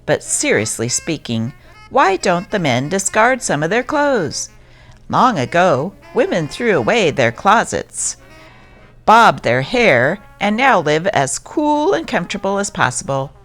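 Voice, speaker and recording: A woman's voice: lowish voice, very animated, unhurried, a bit bright, faint music behind. A woman narrator giving a scripted reading of old newspaper stories.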